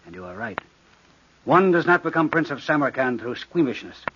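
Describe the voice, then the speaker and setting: An actor playing a cunning living shadow posing as a prince in an old-time radio drama, suave and courtly, with theatrical menace. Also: male voice, mid-range voice, lively intonation, normal speed, a bit bright, a little hiss or hum, lightly acted. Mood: silky menace. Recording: dull vintage radio recording.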